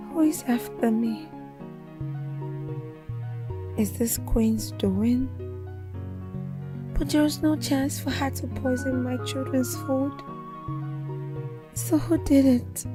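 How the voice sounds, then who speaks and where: A woman playing an anxious wife voicing worried thoughts to herself. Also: sits high. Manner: worried inner monologue.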